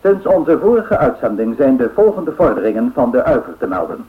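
Actor as formal newsreader on thin old radio. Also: male voice, medium pitch, sing-song, close to an everyday voice. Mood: formal, factual. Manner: formal, measured announcing. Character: male radio newsreader giving solemn 1930s air race bulletins. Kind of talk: scripted radio news bulletin. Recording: narrow-band old radio recording.

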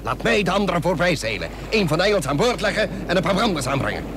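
A man's voice: high voice, sing-song, fast talker. A man playing a brave, eager naval captain in a historical radio drama, fervent and emphatic. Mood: pleading. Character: eager, brave frigate captain, begging to redeem himself. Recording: noisy radio recording with harbour-like ambience.

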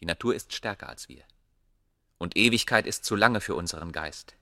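An actor playing a proud, obsessive ancient scholar, speaking gravely and declaiming in a German radio play. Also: male voice, sits low, pushed and bright, no echo, clean studio sound. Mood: grave, intense. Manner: grave, declamatory, deliberate phrasing.

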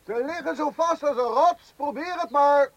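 An actor playing a meddling, boastful know-it-all neighbour in a radio comedy play, pompous and pushy. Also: male voice, very high voice, normal speed, lo-fi sound. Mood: shouting confidently.

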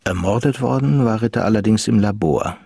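A man's voice: on the low side, fairly quick, gravelly, warm tone, breathy edge, no echo, studio quality. A man reading scripted narration in a German radio crime drama.

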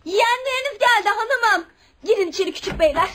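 An actress playing a doting, nosy housemaid in a radio play, exclaiming and lamenting in a deferential way. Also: female voice, very high voice, animated, quick pace, fairly bright tone. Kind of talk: Turkish radio theatre acting.

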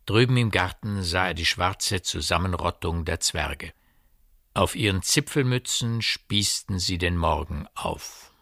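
A man's voice: low voice, a little slow, creaky, bright, forceful tone, no room sound, studio quality. A man reading literary scripted narration as the narrator of a radio drama. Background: music.

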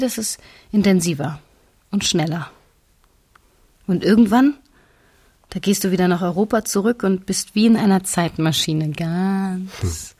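A woman's voice: fairly low pitch, a little mellow, breathy edge. A woman playing a wistful German expatriate, musing in short broken phrases in a naturalistic radio drama.

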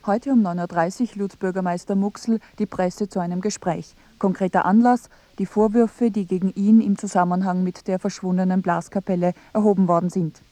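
A woman acting a radio news reporter in a mock documentary. Her voice is mellow and mid-pitched, factual and formal, with a dry, close studio sound. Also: fairly even intonation, a little fast, hardly any pauses, no room sound, close to an everyday voice. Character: matter-of-fact female radio news reporter.

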